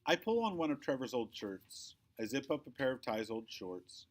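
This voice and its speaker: Man playing a young boy, sulky and defiant, children's storybook, expressive, aggrieved delivery, medium pitch. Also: normal speed. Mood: wistful. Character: sullen young boy narrating his own hurts, defiant. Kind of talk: children's novel read aloud, first person.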